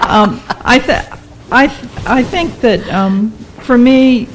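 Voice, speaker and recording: A woman's voice: a little high, expressive, very slow, very noisy. A woman in a church discussion group, sharing her view earnestly and thinking aloud as she speaks.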